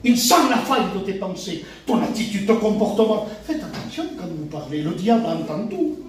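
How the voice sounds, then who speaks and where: A man with a very high voice preaches a sermon, hammering phrases over and over and dropping from loud cries to hushed asides. His voice rings through a big echoing hall, picked up from well back from the mic. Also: expressive, moderate pace. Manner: emphatic, repeats phrases, swings from shouts to hushed asides. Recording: very echoey hall, mic from far off.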